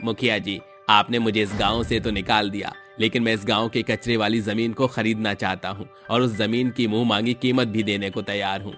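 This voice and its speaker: Man acting as humble village father, low pitch, earnest, kindly, moral storybook tale, music behind. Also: steady tone, fairly quick, hardly any pauses, fairly bright tone, natural voice. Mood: firm. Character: Dharmesh, poor kind-hearted village father, earnest.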